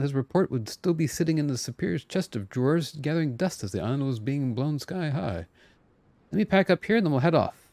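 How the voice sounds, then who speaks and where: A man voicing a fussy, long-winded engineer from a video game, officious and wordy with hesitant pauses. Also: medium pitch, a little mellow, dry recording, lightly acted. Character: fussy, long-winded, bureaucratic engineer reading out repair plans.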